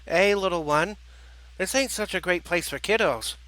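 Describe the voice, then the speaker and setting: A man's voice: high voice, normal speed, sharp tone, voice pushed up, poor audio. A man voicing a stern, protective turkey warrior leader, blunt and grim, with a New Jersey accent. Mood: reassuring.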